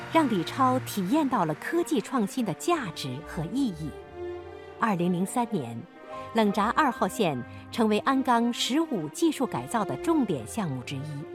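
A woman narrating a radio drama in a formal, earnest storytelling manner. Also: mid-range voice. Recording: music behind the voice.

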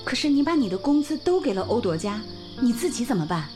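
A woman's voice: fairly high pitch, big pitch swings, quick pace. An actress playing a caring, concerned young female village teacher in a radio drama. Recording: music behind the voice.

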